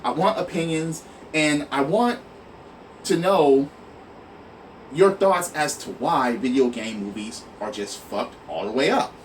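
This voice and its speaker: A man's voice: a little high, a little slow. A man giving an exasperated, emphatic, profanity-laced opinion rant to his online viewers. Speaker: online video host. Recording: noisy, distant mic, room sound.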